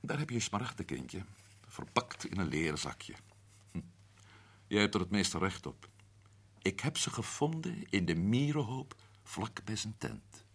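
A shrewd sleuth, husky, low, rising and falling pitch. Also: male voice, very animated, measured pace, heavily acted. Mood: explaining. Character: Paul, a shrewd man unravelling a mystery. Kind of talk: radio drama acting.

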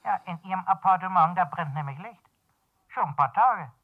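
Fussy caretaker on the phone, high-pitched. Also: male voice, animated, normal speed. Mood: agitated, dutiful. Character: fussy male building caretaker reporting to an owner. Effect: telephone voice. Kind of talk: 1970s German radio crime drama acting. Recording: band-limited telephone line in radio play.

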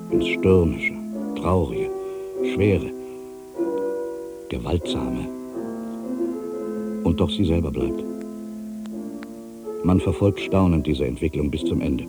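Very deep, gravelly ageing writer. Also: male voice. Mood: musing, tender. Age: older. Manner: theatrical, brooding, shifting from exclamation to hushed asides. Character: ageing writer, musing, irritable, suspicious, quietly superstitious. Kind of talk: literary radio play interior monologue.

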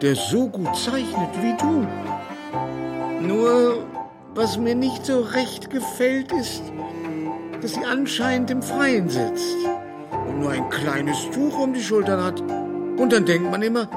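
An actor playing an elderly gentleman in a period radio drama, a doting father who speaks gently and worries. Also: very high-pitched, very animated, heavily acted. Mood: fond, worried. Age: older. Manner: gentle, worrying, deliberate. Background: string music.

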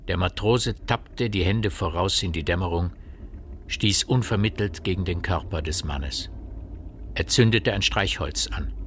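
A man narrating a dark village tale in a grave, literary style for a radio drama. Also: low-pitched, slight background noise.

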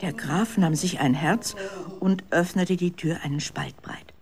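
A woman narrating a children's story in a dramatic, expressive scripted style. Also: lowish voice, a little slow.

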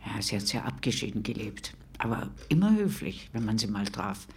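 An actress playing a chatty, defensive neighbour who rambles confidingly in a radio drama interview. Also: female voice, extremely low pitch, very animated, normal speed, vocal fry, darkish tone, husky, exaggerated voice. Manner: rambling, self-justifying, confiding. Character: chatty, defensive neighbour woman gossiping about a recluse.

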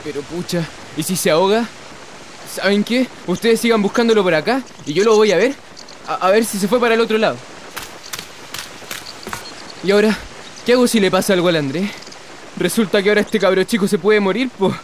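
A man plays a Chilean teenage older brother in a radio drama. His voice is high for a male, fretful and prone to grumbling, with faint outdoor noise behind it. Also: fairly quick, long pauses, lightly acted. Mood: anxious, self-blaming.

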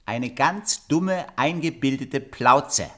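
A cheeky puppet clown with a bright, sharp voice. He is irreverent and jaunty, teasing in singsong rhythm in the manner of a children's radio play. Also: mid-range voice, expressive, takes it slowly. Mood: defiant, teasing. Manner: jaunty, mocking, singsong catchphrases.

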